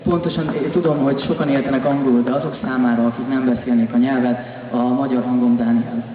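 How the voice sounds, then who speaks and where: A man's voice: mid-range voice, steady tone, fast. A Hungarian man works as a live interpreter, relaying a stage speech to a concert audience. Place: on stage in a large concert hall. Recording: echoey concert hall, muffled sound, audience noise.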